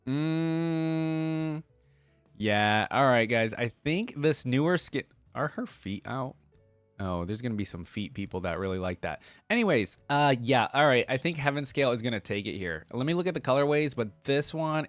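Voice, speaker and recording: A man hosting a casual reaction video, reading text aloud and thinking out loud with offhand asides. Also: medium pitch, dry recording.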